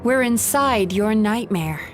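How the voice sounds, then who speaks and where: An actress playing a composed dream-eating yokai counselor in a video game, earnest and measured, gently firm in a clinical way. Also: female voice, mid-range voice, animated, faint music behind. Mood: calm, firm.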